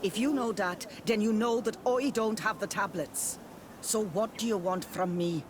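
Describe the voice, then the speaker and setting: A woman's voice: medium pitch, normal speed, noisy background, lightly acted. An actress playing a guarded, protective village mother in a video game, wary and plain-spoken. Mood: guarded pleading. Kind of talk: video game cutscene acting.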